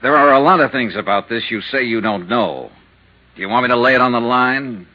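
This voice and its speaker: An actor playing a tough, persistent insurance investigator in a 1950s radio drama, with a blunt, pressing, hard-boiled delivery. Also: male voice, mid-range voice, brisk, close to an everyday voice. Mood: stern, accusing. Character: tough, persistent male insurance investigator narrating his case. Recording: muffled vintage 1950s radio recording.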